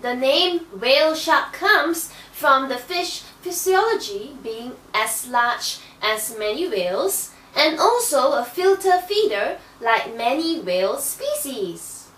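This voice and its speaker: Woman voicing a high, bright teacher shark puppet. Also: very animated, slow pace, hardly any pauses, full character voice. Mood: explaining. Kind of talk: children's educational puppet show. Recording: roomy echo, distant microphone.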